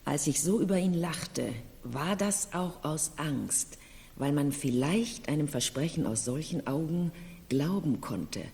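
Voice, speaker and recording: An actress in a 1970s German radio play, playing a proud, high-strung middle-aged noblewoman with a haughty, confessional manner. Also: animated, measured pace, darkish tone. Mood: confessional, wistful. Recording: reverberant room, vintage radio drama.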